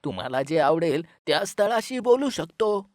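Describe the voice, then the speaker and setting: A woman voicing an obliging village marriage broker in an animated moral story. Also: on the low side, normal speed, dry recording.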